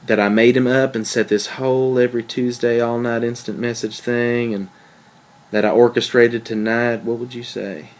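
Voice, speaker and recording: A man's voice: medium pitch, little pitch movement, moderate pace, lightly acted. A man playing a jealous, wry and insecure boyfriend in a table read of a stage play, conversational and questioning. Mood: insistent.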